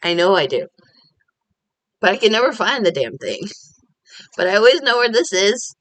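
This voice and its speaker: A woman's voice: mid-range voice, very animated, moderate pace, a bit bright, dry recording. Woman vlogger, casual confessional ramble, self-deprecating, dry room. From Korean American.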